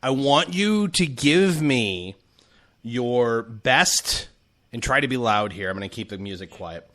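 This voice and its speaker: A man's voice: medium pitch, measured pace. A man hosting a talk show, playfully setting up bits for his co-host. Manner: lively, playful. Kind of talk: radio-style talk show.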